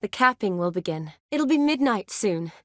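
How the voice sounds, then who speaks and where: A woman's voice: medium pitch, animated, normal speed, fairly bright tone, dry recording, studio quality, natural voice. A woman playing a composed, wry young medic in a fantasy video game, speaking articulately with a dryly teasing edge. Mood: friendly, composed. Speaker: video game character, army surgeon. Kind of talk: video game dialogue acting.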